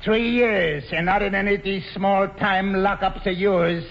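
An actor playing a brash, wisecracking ex-convict bootlegger boss in slangy 1940s radio drama style. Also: male voice, high voice, fairly even intonation, unhurried. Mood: boastful, taunting. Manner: slangy, wisecracking tough-guy talk. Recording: dull, noisy vintage radio recording.

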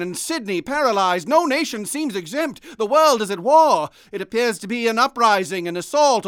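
High-voiced, urgent radio news anchor. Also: male voice, a bit bright, clear, resonant tone, dry recording, clean studio sound. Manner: grave, formal announcing. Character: urgent male radio news anchor reporting worldwide invasion. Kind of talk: old-time radio news bulletin acting.

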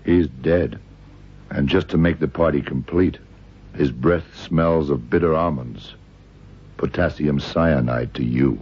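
Very deep-voiced hard-boiled investigator. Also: male voice, measured pace, a little mellow, slightly rough, full character voice. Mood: grim, accusing. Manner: dry, tough-talking, wisecracking. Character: hard-boiled, suspicious male American federal investigator. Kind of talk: 1960s-70s radio drama acting. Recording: dull vintage radio recording, music behind.

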